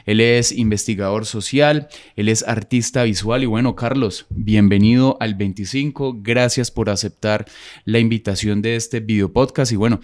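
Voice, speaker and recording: Podcast host, low-pitched man. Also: a little mellow. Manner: formal, welcoming, long framing questions. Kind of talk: video podcast interview.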